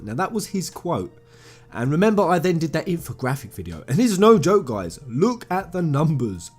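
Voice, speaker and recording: A man's voice: medium pitch, a little mellow, slightly rough. A man hosting a video game channel, giving informal opinion commentary in an emphatic, slangy way with effusive fan enthusiasm, speaking straight to viewers.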